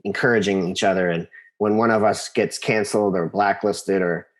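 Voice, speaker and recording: Low-pitched American man, halting and thoughtful. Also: fairly even intonation. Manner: halting, thinks aloud, repeats phrases for emphasis. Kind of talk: casual interview conversation.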